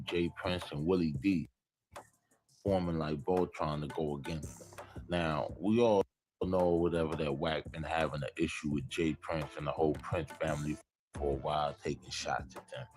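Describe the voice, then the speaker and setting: A man's voice: extremely low pitch, a little mellow. An American man introducing clips as a channel host in casual, slangy, conversational talk. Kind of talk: casual scripted-free intro to a reaction video.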